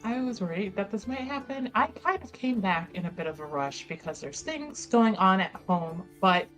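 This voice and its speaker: A woman playing in a tabletop roleplaying game, improvising in character with asides, in candid, halting phrases. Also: mid-range voice, lively intonation, fairly bright tone, poor audio. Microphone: close microphone on online stream. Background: music.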